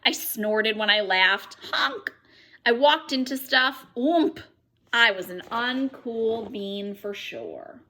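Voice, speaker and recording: Animated, bright-voiced woman reading a picture book. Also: fairly high pitch, slow pace. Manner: animated, acting out exclamations. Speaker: read-aloud storyteller. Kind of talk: expressive children's picture book read-aloud. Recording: noticeable background noise.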